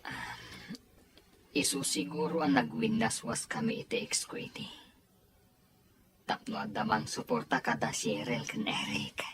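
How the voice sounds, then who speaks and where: A woman playing a soft-hearted, self-sacrificing grown man, a devoted uncle, in an audio story drama. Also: deep voice, huge pitch swings, unhurried, whispered, full character voice. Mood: hesitant, subdued.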